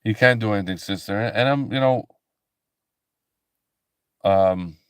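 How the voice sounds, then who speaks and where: A tired man hosting a late-night ministry livestream, talking slowly and softly with a calm, relaxing voice, with many pauses and restarts. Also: on the low side, clean tone, no echo, clean studio sound. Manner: slow, halting, soft-spoken, many fillers and restarts. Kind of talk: casual late-night livestream chat with viewers.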